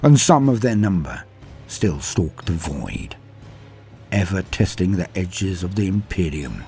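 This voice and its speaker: A man's voice: deep voice, sing-song, measured pace, mellow, soft background music. A man narrating scripted lore in a grandiose, theatrical storytelling manner. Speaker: narrator and presenter of a lore channel.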